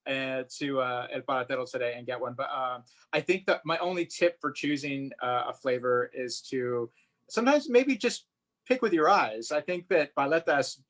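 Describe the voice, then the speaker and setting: A man's voice: medium pitch, normal speed, a bit bright. Casual male illustrator in an echoey room. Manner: enthusiastic, informal, thinking aloud while working. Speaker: guest illustrator. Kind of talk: casual art demonstration and chat. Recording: roomy echo, away from mic.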